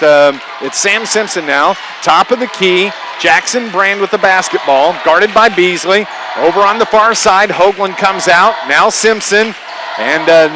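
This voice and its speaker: A man doing live radio play-by-play of a basketball game, in clipped running phrases with his voice often raised. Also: high-pitched. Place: packed high school gymnasium. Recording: loud gym crowd noise, live radio broadcast. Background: crowd, bouncing basketballs.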